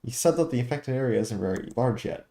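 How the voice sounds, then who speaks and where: A man's voice: medium pitch, lively intonation, fast talker, mellow, a little hiss or hum, natural voice. A man voicing a small, childish female fairy companion from a video game, timid and chatty, speaking of herself in the third person. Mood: worried. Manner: talks of self in third person.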